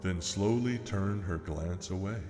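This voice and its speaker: Slow, deep theatrical male storyteller. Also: dark tone. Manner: theatrical, rhythmic rhyming delivery. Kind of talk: dramatic reading of a narrative horror poem. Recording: long reverb with music behind.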